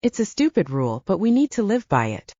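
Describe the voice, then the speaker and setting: A woman voicing a guarded, knowing young female vampire in an audiobook, soft-spoken and explanatory. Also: medium pitch, big pitch swings, brisk, dry recording, highs cut off. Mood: matter-of-fact. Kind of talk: audiobook character dialogue.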